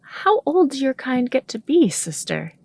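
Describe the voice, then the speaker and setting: A woman's voice: high voice, sing-song, unhurried, vocal fry, a little mellow, slightly rough, dry recording, heavily acted. A woman playing an earnest, unpretentious queen in a fantasy audio drama, plain-spoken and firm. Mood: earnest, curious. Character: earnest, unpretentious warrior queen, impatient with ceremony.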